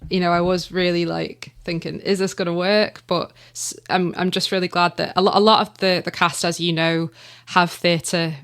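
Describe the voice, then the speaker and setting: A woman's voice: fairly low pitch, flat delivery, normal speed, a bit bright. A young woman from the Isle of Man with a Manx English accent, talking casually in an interview, chatty and hesitant with plenty of fillers. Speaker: writer and director of an audio drama, guest.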